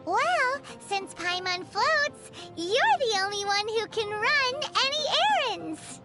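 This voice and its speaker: Tiny childlike game fairy: extremely high, squeaky, swooping delivery, chirpy and cheeky, music behind. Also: female voice, takes it slowly, heavily acted. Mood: gossipy, smug. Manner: speaks of self in third person, animated. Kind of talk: animated video game voice acting.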